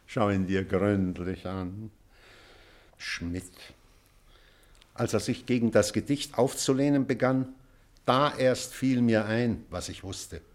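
An actor playing a weary, remorseful old male schoolteacher in a literary drama, pensive and measured, with weighty pauses. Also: lowish voice. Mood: rueful. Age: older. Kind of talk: German literary stage drama.

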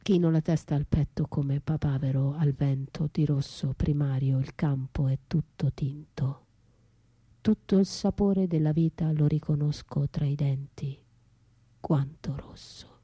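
Radio drama casting: abused wife left for dead, anguished but defiant; unhurried, dark-toned, theatrical, very dry close sound. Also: female voice, sits low, fairly even intonation, no room sound. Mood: fading, breathless. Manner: theatrical, drawn-out, breathy, shifting from whispers to cries. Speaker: stage actress and writer performing a solo radio play. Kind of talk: intense poetic radio theatre monologue.

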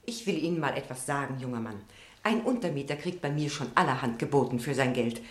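An actress playing an unhelpful, self-righteous landlady in a radio play, with a brisk, dismissive, huffy manner. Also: very deep voice, lively intonation, echoey room. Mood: dismissive, impatient. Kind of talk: German radio play acting.

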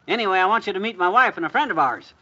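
High-pitched, excitable American radio husband. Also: male voice, racing, heavily acted. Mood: excited, boastful. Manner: folksy, blustering. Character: excitable, boastful husband, a First World War veteran. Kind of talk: 1950s radio comedy acting. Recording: muffled vintage radio recording.

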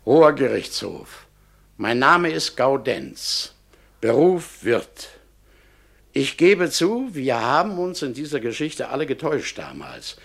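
A middle-aged innkeeper giving testimony, self-justifying and insistent. His voice is gravelly and husky, and his pitch rises and falls a great deal. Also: big pitch swings, slow, fairly bright tone, heavily acted. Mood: defensive. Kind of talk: radio drama monologue.

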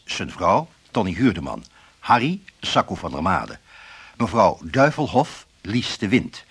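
A man's voice: fairly low pitch, a bit bright, breathy edge. A man reading scripted radio credits as an announcer.

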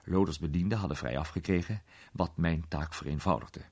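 A man playing an urbane gentleman detective who recounts his case with measured, suspenseful pauses in a radio play. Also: very deep voice, husky, full character voice. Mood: composed, confiding. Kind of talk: dramatised storytelling in a radio play.

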